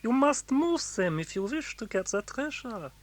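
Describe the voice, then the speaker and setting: An actor playing a weak, defensive foreign prisoner in a children's audio drama. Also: male voice, extremely high pitch, big pitch swings, normal speed, slight background noise. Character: weak foreign male prisoner, defensive treasure-map reader. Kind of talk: children's radio drama acting.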